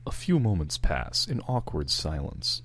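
A man's voice: deep voice, wildly swooping pitch, a little slow, fairly bright tone. A man reading scripted narration for a fan-fiction screenplay.

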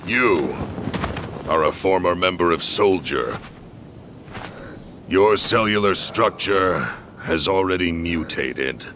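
Game villain: a cold scheming scientist, forceful low voice with dramatic swings, deliberate and menacing. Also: male voice, expressive, a little hiss or hum, exaggerated voice. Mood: clinical, dismissive. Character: scheming middle-aged male rogue scientist, cold and self-justifying. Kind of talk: English video game dub acting.

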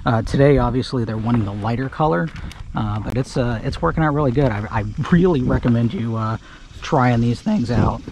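A man casually talking through a fishing trip from his kayak on a creek, relaxed and full of filler words, recorded on an action camera outdoors. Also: medium pitch, brisk. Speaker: fishing guide. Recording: outdoor camera mic, audible background noise.

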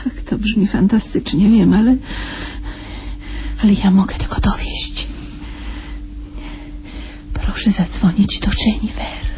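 An actress playing a desperate captive wife in a radio drama, imploring and halting as she pleads to be believed. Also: medium pitch, steady tone, in a whisper, soft background music. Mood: desperate pleading. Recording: dull, noisy old radio drama recording.

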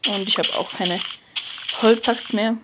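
A young person, likely a teen, giving hesitant gameplay commentary, often correcting themselves and dropping to a near whisper. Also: female voice, medium pitch, fairly even intonation, measured pace. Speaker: let's player. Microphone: phone screen recorder mic. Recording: noisy lo-fi phone recording.

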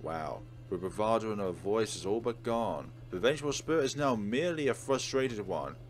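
A man's voice: fairly low pitch, animated, normal speed. A man hosting a let's play, reading a visual novel aloud dramatically with casual commentary. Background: game music.

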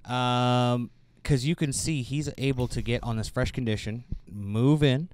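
A man's voice: mid-range voice, fairly even intonation, unhurried, darkish tone, smooth. A man co-hosting a casual product review, commenting and bantering in a joking way. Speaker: host of bowling ball reviews.

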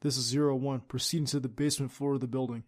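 A man's voice: medium pitch, steady tone, fast, a little hiss or hum, close to an everyday voice. A man playing a young secret agent who leads his team and is a devoted boyfriend, in an audio roleplay drama. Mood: controlled, focused. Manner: clipped military radio commands.

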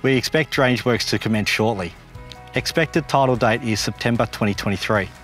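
A man's voice: mid-range voice, fairly quick, a bit bright, faint music behind. A man in his thirties with an Australian accent, giving a polished, upbeat scripted project update to camera outdoors in a park as development manager. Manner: upbeat, polished corporate delivery.

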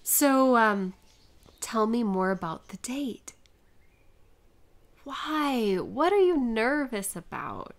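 A woman playing a sick, sweet young woman flustered by a crush, in an intimate audio roleplay with halting asides to herself. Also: a little high, big pitch swings, full character voice. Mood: friendly, flustered. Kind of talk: intimate one-sided audio roleplay acting.

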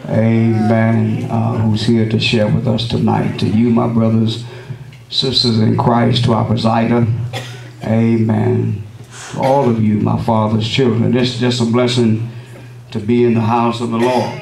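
Gravelly-voiced preacher in an echoey church. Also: male voice, on the low side, completely flat delivery, darkish tone. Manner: measured preaching cadence with frequent amens. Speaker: visiting pastor. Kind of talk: sermon opening and prayer. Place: wood-paneled church sanctuary. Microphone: lectern microphone, camera at back of room. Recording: echoey room, distant camera, congregation responses.

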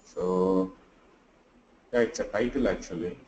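A sleepy man teaches a live class over a video call, pausing often and thinking aloud as he works. His voice is mellow and dark, and the audio sounds dull and roomy, with a faint hiss underneath. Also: deep voice. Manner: halting, thinks aloud with many fillers. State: sleepy and tired. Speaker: online class instructor. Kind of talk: live screen-share tutorial.

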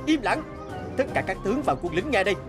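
An actor playing a proud, ambitious young warrior prince in a cartoon fairy tale, speaking in forceful, declamatory commands. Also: male voice, sits high, sing-song, fast talker. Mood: threatening. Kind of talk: dubbed animated fairy tale acting. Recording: loud music under the voice.

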